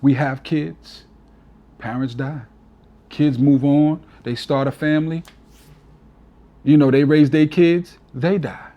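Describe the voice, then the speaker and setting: A man's voice: fairly high pitch, fairly even intonation, slow, long pauses, lo-fi sound. A man in his forties, a DJ guest on an interview, talking earnestly and from the heart, often adding "you know". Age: 40s. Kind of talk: casual reflective interview talk.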